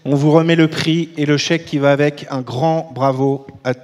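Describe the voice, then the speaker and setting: A man's voice: a little high, steady tone, moderate pace, a little mellow. A man hosting an award ceremony, announcing winners in an upbeat way. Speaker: host. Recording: hall with crowd noise.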